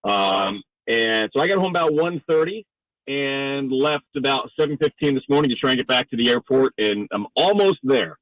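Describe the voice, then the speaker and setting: A man's voice: medium pitch, lively intonation, normal speed, fairly bright tone, dry recording, low-quality recording. A man from the Northeastern United States, a sports announcer, talking conversationally and wordily as a radio interview guest.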